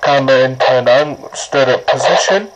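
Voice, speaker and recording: A person giving an informal, unscripted how-to demonstration in a halting, repetitive way, often restarting sentences. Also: mid-range voice. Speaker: presenter of a gadget how-to. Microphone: camera or tablet propped nearby. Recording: noisy amateur recording with clicks.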